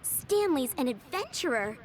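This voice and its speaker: A woman's voice: sits high, big pitch swings, measured pace. A woman voicing a dreamy, giggly young girl from a flower shop in a video game, with a sweet tone. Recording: game audio with clear music behind.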